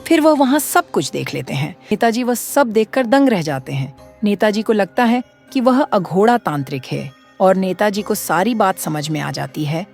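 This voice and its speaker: A woman narrates a cartoon horror story with a lively, expressive delivery. Her pitch moves a lot, and faint music plays behind her. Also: normal speed, creaky, hardly any pauses.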